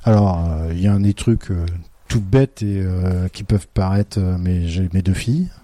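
A man's voice: sits low, moderate pace, dark tone. A middle-aged man, a teacher from France, talking in a thoughtful, hesitant way with many fillers and restarts. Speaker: teacher, podcast guest. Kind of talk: casual interview talk.

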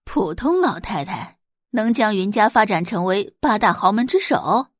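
A woman voicing a scornful, sharp-tongued middle-aged mother who talks in a sneering way, in an audio drama. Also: medium pitch, sing-song, normal speed, dry recording, studio quality. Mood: curious. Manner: sneering, contemptuous.

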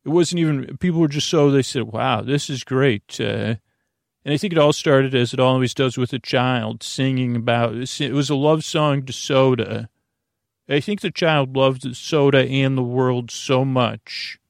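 A middle-aged American man with a gravelly voice hosting a sleep podcast, rambling haltingly, repeating himself and trailing off mid-thought. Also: medium pitch, dry recording, clean studio sound. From United States. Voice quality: gravelly, creaky. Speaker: podcast host.

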